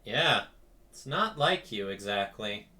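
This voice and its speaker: A man's voice: fairly low pitch, sing-song, takes it slowly, sharp tone. A man streaming a casual reaction to a game, speaking hesitantly with wry sympathy. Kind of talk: casual game reaction commentary, reading along.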